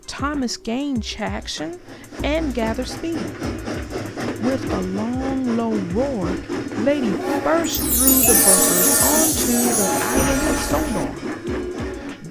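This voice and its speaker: Female storybook narrator, slow pace, slightly creaky, expressive, music underneath. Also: a little high. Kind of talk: scripted children's read-aloud narration.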